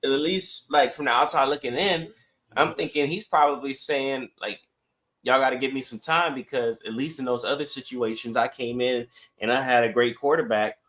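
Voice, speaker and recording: Medium-pitched man chatting about sports. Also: moderate pace, fairly bright tone. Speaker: sports talk podcast co-host. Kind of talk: casual sports chat.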